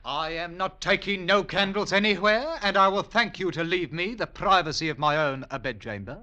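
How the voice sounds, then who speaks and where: An actor playing a pompous, excitable working-class Englishman with a Cockney accent, telling his story in old-time radio comedy style, blustering in bad grammar and grand words. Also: high voice, normal speed. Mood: indignant, blustering. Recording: vintage 1940s-50s radio recording.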